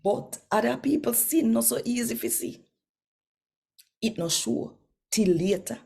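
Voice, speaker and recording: A woman's voice: fairly high pitch, expressive, a little slow, vocal fry, warm tone, husky. A woman with a Jamaican accent, hosting and reading scripture aloud in a devotional style. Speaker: host reading daily scripture.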